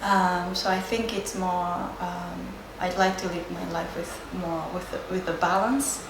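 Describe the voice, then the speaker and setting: A woman from Japan with a Japanese accent, a native Japanese speaker giving reflective, unscripted interview answers, hesitant and searching for words with frequent pauses. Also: on the low side, fairly even intonation. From Sendai area, Japan. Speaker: interviewee, yoga and Japanese teacher. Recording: roomy echo, clear background noise.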